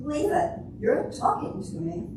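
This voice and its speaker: A woman's voice: moderate pace, lightly acted. Heard at a distance in a noisy, very reverberant hall, an older woman acts a jaded fairy-tale princess after divorce. Her delivery is dry and wry, in the manner of an amateur staged comedy reading. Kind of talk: amateur readers' theater comedy scene. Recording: echoey hall from far off, noisy.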